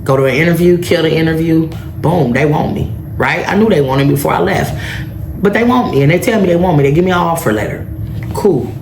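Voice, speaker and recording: A woman in her thirties hosting a casual solo podcast, telling a story and turning to her listeners again and again to check they are with her. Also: low voice. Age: 30s. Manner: chatty storytelling that keeps turning to the listeners with "right?" and "y'all". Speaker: podcast host. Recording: echoey room with faint background noise.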